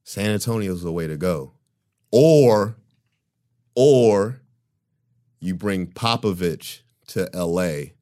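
A man with a deep voice co-hosting a casual podcast, talking emphatically and often laughing. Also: expressive, takes it slowly, pauses often, warm tone. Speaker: podcast co-host. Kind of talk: casual chat.

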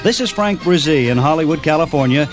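Casting: American man, radio host, slightly high voice, scripted show narration over clear background music. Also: expressive, brisk.

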